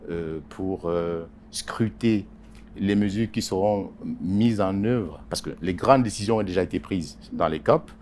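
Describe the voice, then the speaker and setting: A man, a journalist, answering interview questions in a halting way with many pauses and repeated words. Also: sits low, a little mellow, slight background noise. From Congo Basin. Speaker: journalist and editorial writer.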